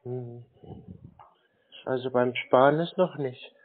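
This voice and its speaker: A man in a casual phone conversation, talking in a naive, slow-witted, stalling way like a confused customer. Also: medium pitch. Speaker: prank caller posing as a naive customer. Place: phone call. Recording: tinny band-limited phone line.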